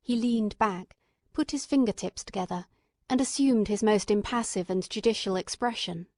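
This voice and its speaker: Female audiobook narrator: mid-pitched, scripted storytelling, dry recording with little room. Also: normal speed, darkish tone, no echo.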